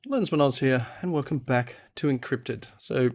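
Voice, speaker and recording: A man who is unwell, husky and a little nasal, giving a casual video game walkthrough as host and thinking aloud as he goes. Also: mid-range voice, moderate pace, a little mellow. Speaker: host of a gaming series.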